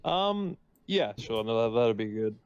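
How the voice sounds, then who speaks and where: A man of average pitch chats casually during a roleplaying game. He sounds agreeable and slightly tentative, and the recording is dry and a little dull. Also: big pitch swings, unhurried, clear, resonant tone, dry recording. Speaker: player in a tabletop roleplaying game. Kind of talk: casual chat.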